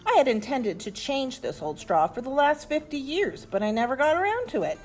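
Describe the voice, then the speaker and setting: A woman's voice: high-pitched, very animated, normal speed, faint music behind. A woman voicing an eager, emphatic old grandfather pig in a storybook.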